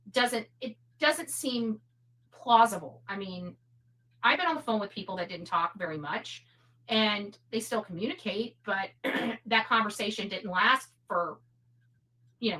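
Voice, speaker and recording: A woman giving casual, rambling opinion commentary in a halting way, often repeating her words. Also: fairly high pitch, pushed and bright, low-quality recording. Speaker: online commentator.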